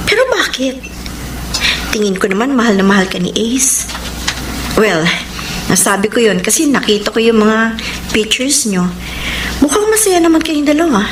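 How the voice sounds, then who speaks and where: High-voiced heartbroken Filipino woman played by an actress. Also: expressive, normal speed, pauses often, noisy background, close to an everyday voice. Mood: guarded, casual. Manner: code-switches between Tagalog and English. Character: heartbroken woman in unrequited love, emotional, weary, resolute. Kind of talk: Filipino radio romance drama acting and narration. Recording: echoey, loud music bed.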